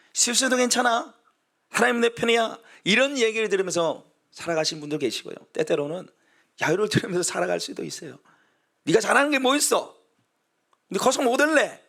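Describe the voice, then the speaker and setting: A man's voice: sits high, fairly bright tone. A man who is a pastor, preaching a sermon in a storytelling way, acting out quoted voices and building to emphatic exhortation.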